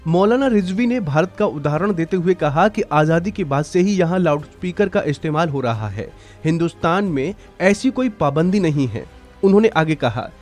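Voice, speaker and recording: A man's voice: sits high, a little mellow. A man reading scripted news narration as a presenter. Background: background music.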